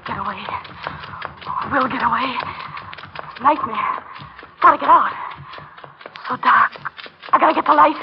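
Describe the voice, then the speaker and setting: A woman's voice: high-pitched, normal speed, creaky, long pauses. An actress playing a terrified, desperate woman trapped by a madman, speaking in breathless, fraught fragments in old-time radio horror style. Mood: frantic, muttering. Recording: muffled, noisy old radio drama recording.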